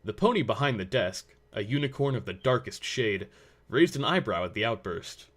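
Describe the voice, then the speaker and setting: A man reads a story aloud as narrator. His voice sits at an average male pitch, and the delivery is scripted narration. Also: very animated, normal speed, fairly bright tone.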